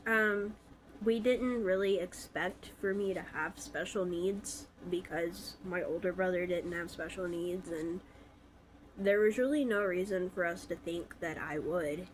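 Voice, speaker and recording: Slow-talking stuffy young woman. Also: lowish voice, a little hiss or hum, rough recording. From Alabama, US. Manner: many fillers, hesitant. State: stuffy from a cold. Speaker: vlogger. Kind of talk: casual personal storytelling.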